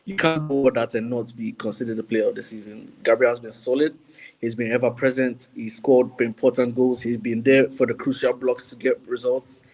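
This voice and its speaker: A man's voice: medium pitch, fairly even intonation, fairly quick, a little mellow, faint music behind, low-quality recording. A man giving his views as a panelist on a football podcast, in conversational punditry. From Ghana.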